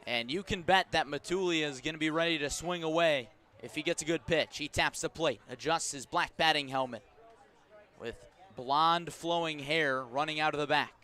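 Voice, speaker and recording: A man calling a baseball game live on radio as play-by-play announcer, measured between pitches and emphatic when the action comes. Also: pushed and bright. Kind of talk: live sports radio commentary.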